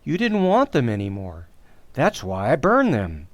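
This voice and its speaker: A man's voice: medium pitch, huge pitch swings, a little slow, no room sound. A man acting a well-meaning, opinionated suburban husband and father in an old-time radio sitcom, in a hesitant, folksy manner. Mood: mildly defensive. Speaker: actor in community radio theater.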